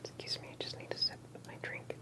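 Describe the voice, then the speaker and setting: A woman whispers throughout in a soft, breathy, unvoiced tone. She plays an interviewer in an ASMR roleplay, posing her questions carefully and deliberately.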